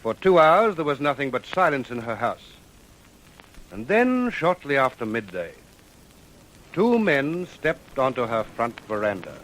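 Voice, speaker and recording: A man narrating an old radio crime drama in a solemn, measured, portentous scripted style. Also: medium pitch, big pitch swings, fairly bright tone, poor audio.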